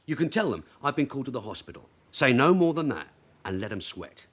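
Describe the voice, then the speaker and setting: An actor playing a shrewd veteran detective sergeant in a radio drama, husky, brisk and matter-of-fact. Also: male voice, mid-range voice, big pitch swings, a bit bright. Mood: firm, businesslike. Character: shrewd veteran London police detective sergeant, calm and commanding.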